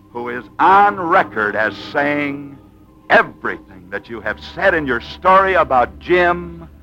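A muffled old radio recording features a male host with a bright, forceful voice set fairly high. He narrates theatrically and emphatically, with lively swings in pitch and dramatic pauses in 1940s style. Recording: muffled old radio broadcast, faint music.

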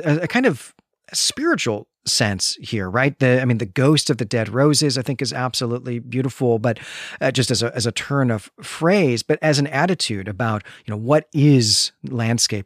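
A man's voice: mid-range voice, no echo. Articulate male podcast host, dry close recording. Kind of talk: literary discussion podcast, casual analytical chat.

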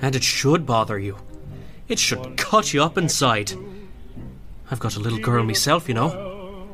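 Gruff male rebel leader played by a man, charismatic, folksy, very slow and emphatic, music clearly underneath, radio drama. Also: medium pitch, lively intonation, lightly acted. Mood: impassioned.

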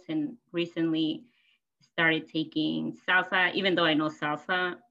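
Peruvian woman in her 30s, native spanish speaker, really low but bright voice, cheerful rambly podcast chat, kinda muffled audio. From Peru. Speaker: podcast guest. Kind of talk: casual personal storytelling. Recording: slightly dull, lo-fi audio.

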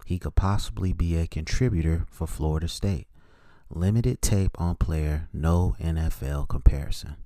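A man's voice: low-pitched, normal speed, dark tone, no room sound, studio quality. A man hosting a solo sports show, giving plainspoken, opinionated scouting commentary on football players in clipped, note-like phrases.

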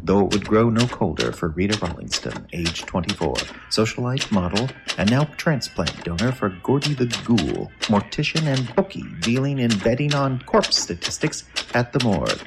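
A man playing a rumpled, wisecracking male tabloid reporter who chases monster stories, theatrical and pleading, with a gravelly voice, in an old-time radio drama. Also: fairly low pitch, very animated, moderate pace, few pauses, background noise.

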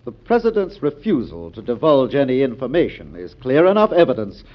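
An actor playing a combative, confident American senator in 1940s radio drama style, speaking in an emphatic, oratorical way. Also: male voice, mid-range voice, wildly swooping pitch, brisk. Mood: indignant, confident. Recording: dull old radio broadcast recording.

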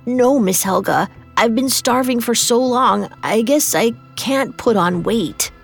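A woman's voice: sits high, big pitch swings, moderate pace, faint music behind, voice pushed up. A woman voicing a timid, starving child in a storybook tale, pleading meekly and stammering. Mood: meek, fearful.

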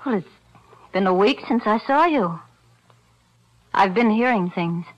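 A woman's voice: medium pitch, lively intonation, measured pace, dull audio, lightly acted. An actress playing a worried young woman, earnest and pleading, in 1940s radio-drama style. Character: anxious young woman, the loyal girlfriend.

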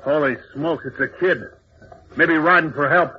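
An actor playing an alarmed, ruthless convict, barking urgently in an old radio serial on a muffled, noisy recording. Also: male voice, fairly high pitch, normal speed, natural voice. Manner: urgent, barked exclamations. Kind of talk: 1940s radio serial acting.

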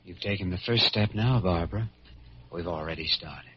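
Earnest doctor, fairly low voice. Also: male voice, moderate pace, slightly rough. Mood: urgent, reassuring. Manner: earnest, sincere. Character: earnest, idealistic, reassuring young male doctor. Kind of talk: 1940s radio drama acting. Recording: dull, faintly noisy old radio recording.

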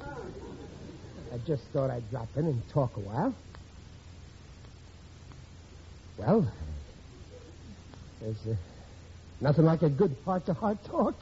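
Through a dull, noisy old radio recording comes an indignant working-class husband and father. His voice sits high, and he blusters along, mangling phrases in classic sitcom style. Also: very animated, slow, creaky, long pauses, warm tone, exaggerated voice. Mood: awkward, reassuring. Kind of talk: 1940s radio sitcom acting.

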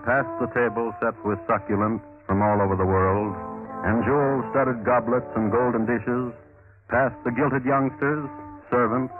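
A man playing a dry, droll crime connoisseur, narrating a 1950s radio drama in measured deadpan. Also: mid-range voice, close to an everyday voice. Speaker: host and narrator. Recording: muffled vintage radio recording, music behind.

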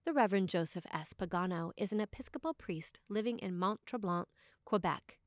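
A woman delivers a scripted sermon as a priest would from a prepared text. Her pitch sits in the middle and she speaks deliberately, pausing for emphasis. The recording is dry, with hardly any room sound. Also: no room sound.

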